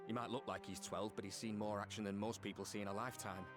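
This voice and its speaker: Actor as resolute young game soldier, humble and heartfelt, lowish voice. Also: male voice, steady tone, fast, close to an everyday voice. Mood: earnest resolve. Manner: plain-spoken, heartfelt, resolute. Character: earnest, self-doubting but determined young male soldier. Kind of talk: video game voice acting. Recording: loud music underneath. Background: orchestral game music.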